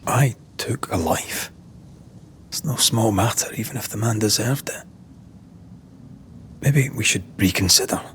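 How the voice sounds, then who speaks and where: An actor playing a weary, resolute ex-soldier ghost-hunter in a video game cutscene, grave and terse. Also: male voice, lowish voice, measured pace, long pauses, a bit bright, a little hiss or hum. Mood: troubled, resigned.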